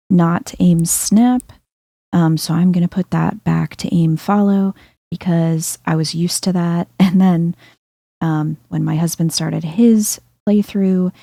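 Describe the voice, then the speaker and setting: A woman speaks softly in a dark, mellow, fairly low voice, musing through a relaxed video game playthrough. Her delivery is slow and chill, in a cozy ASMR style. Faint music sits behind her commentary. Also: dry recording. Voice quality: soft-spoken. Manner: calm, chill, thoughtful, musing aloud. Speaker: video game streamer, let's player. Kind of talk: soft-spoken casual let's play commentary, ASMR vibe.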